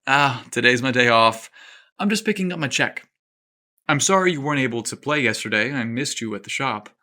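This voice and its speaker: A man's voice: mid-range voice, a little fast, sharp tone, lightly acted. Man voicing a lovesick, fretful young golf pro. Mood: eager. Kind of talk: audio story character acting.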